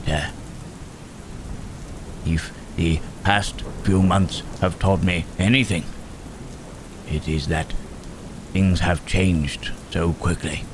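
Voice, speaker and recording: A man voicing Frankenstein's monster, a gentle, brooding, long-lived creature, in halting, musing phrases for an ASMR roleplay drama. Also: low voice, background noise. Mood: reflective, wistful. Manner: halting, deliberate phrasing with musing questioning murmurs. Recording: steady rain and thunder sound effects. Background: rain and thunderstorm ambience.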